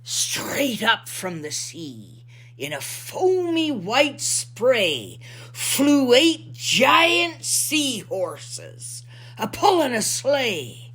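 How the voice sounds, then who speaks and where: A woman voicing a salty old pirate lookout, spinning a rhyming Christmas yarn in a theatrical, drawn-out manner. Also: a little high, sing-song, a bit bright, breathy edge, pitched above the performer's own voice, heavily acted. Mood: excited.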